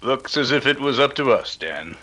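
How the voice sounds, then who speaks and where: Male actor as 1930s radio sleuth: authoritative, dryly witty, crisp forward tone, lively intonation. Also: mid-range voice, normal speed, poor audio. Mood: wry.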